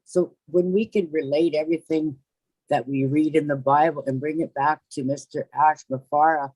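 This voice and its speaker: A man's voice: fairly high pitch, darkish tone, dry recording, low-quality recording. A man giving an earnest, preachy testimonial on a video call, repeating his phrases. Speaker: webinar participant. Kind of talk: faith-filled testimonial.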